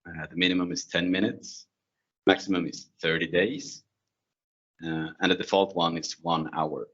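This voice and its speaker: Male tech presenter, non-native English, low-pitched and conversational, muffled lo-fi meeting audio. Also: flat delivery, normal speed, fairly bright tone. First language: not English. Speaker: presenter, IT security consultant. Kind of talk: informal technical presentation with slides. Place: online video call screen share. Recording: dull, lo-fi online meeting audio.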